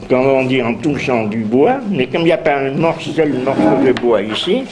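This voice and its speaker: An older man with a bad head cold introduces a philosophy seminar, speaking haltingly with many fillers and restarts. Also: medium pitch, expressive, very noisy. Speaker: seminar host. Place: university seminar room.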